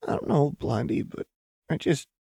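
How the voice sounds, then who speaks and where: A wounded, volatile young woman, performed by a man reading an audiobook. Her voice is ragged and hushed, strained with disbelief. The sound is husky, close and dry. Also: on the high side, very animated, moderate pace, no room sound, voice pushed up, full character voice. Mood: hurt, fragile. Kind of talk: audiobook character dialogue.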